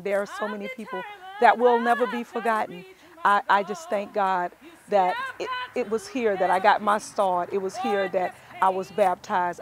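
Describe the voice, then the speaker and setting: A middle-aged woman with a Southern Texas accent, speaking earnestly and emphatically as she gives personal testimony to camera. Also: mid-range voice. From Spanish Camp, Texas, United States. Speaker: church music minister. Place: outdoors at the doorway of a wooden church.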